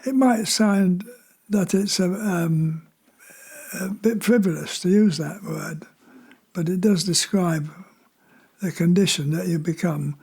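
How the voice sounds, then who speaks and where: A man in his nineties from Leeds speaks slowly in a husky voice. He is a veteran being interviewed, halting and repeating words as he reflects. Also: sits high, a little mellow. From Leeds, England. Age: 90s.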